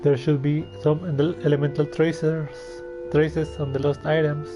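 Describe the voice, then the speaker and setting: A man's voice: fairly high pitch, flat delivery, moderate pace. A man giving casual playthrough commentary as he plays a video game. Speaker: gamer. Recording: game music behind. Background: game music.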